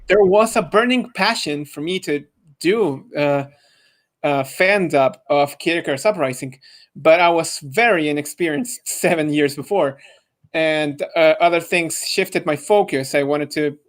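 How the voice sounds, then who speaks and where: A man's voice: a little high, unhurried. A young adult man talking casually as a podcast panel member, with hesitant, stop-start phrasing. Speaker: podcast panel member, amateur fan dub voice actor. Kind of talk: casual group podcast chat.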